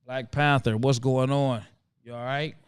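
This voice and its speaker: Chill guy hosting a livestream, smooth clear voice, dry close sound. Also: medium pitch, fairly even intonation, dry recording. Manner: laid-back, calls out viewers by name, drawn-out emphasis. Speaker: livestream host. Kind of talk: casual livestream chat.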